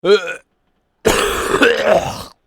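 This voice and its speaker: A hoarse man in his thirties with a sore throat, streaming a game and reading its story text aloud in a deeper storyteller voice. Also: drawn-out words, fairly bright tone, dry recording, studio quality. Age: 30s. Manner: drops into a deep storyteller voice for the narration.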